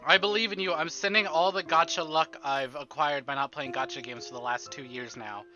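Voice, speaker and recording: Male streamer, very bright sharp voice, fairly high pitch, playful rambling chat, repeats phrases. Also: steady tone, fairly quick, dull audio, soft background music. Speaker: livestream host, gamer. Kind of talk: casual livestream chat with viewers.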